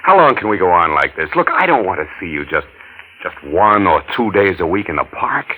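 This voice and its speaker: An actor playing an ardent secret lover, earnest, impatient and insistent, in a pleading 1940s radio drama style. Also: male voice, deep voice, big pitch swings, fairly quick, bright, forceful tone, full character voice. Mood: urgent, insistent. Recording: noisy old radio recording.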